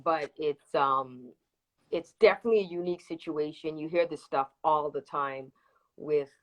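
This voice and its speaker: Woman, low voice, from Toronto, interview guest, casual chat, emphatic repetition. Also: moderate pace, low-quality recording. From Toronto, Canada, with early childhood in Jamaica. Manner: emphatic, repeats words for stress. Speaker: interview guest, reggae dancehall singer. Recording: livestream video call.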